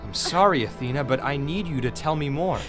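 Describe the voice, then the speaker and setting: A woman playing a grave, composed male leader in an audio drama, speaking in a gentle, measured, formal murmur. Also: low voice, expressive, over music. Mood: gentle, probing.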